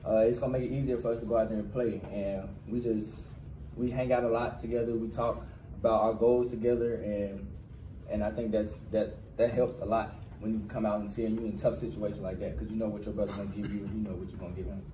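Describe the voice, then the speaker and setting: A young man in his twenties fields reporters' questions in a crowded press room, speaking quickly in a fairly low voice and leaning on relaxed slang. He tends to repeat his phrases as he talks. The sound is roomy and picked up from a distance, with steady background noise. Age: 20s. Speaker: college football player. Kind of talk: post-game press conference answers. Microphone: cluster of handheld press mics.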